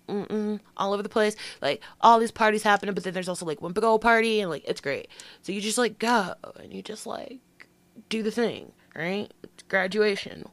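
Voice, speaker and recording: A woman's voice: on the low side, dry recording. A woman hosting a true crime podcast with allergies and a slightly nasal voice, reading the case and slipping into casual, profane asides. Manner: reads the case then breaks into slangy, profane asides.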